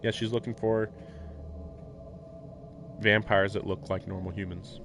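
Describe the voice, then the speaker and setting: A man's voice: lowish voice, little pitch movement, a little slow, background noise. A man streaming a visual novel, reading the text aloud and adding casual commentary. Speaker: game streamer. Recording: game music behind the voice.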